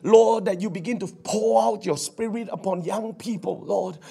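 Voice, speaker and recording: A middle-aged man with a Singaporean English accent, preaching and praying fervently, his voice hoarse from preaching. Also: high voice, fairly even intonation, moderate pace, dark tone. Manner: fervent, repetitive, peppered with "Amen". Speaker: pastor. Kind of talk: sermon with prayer. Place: church service.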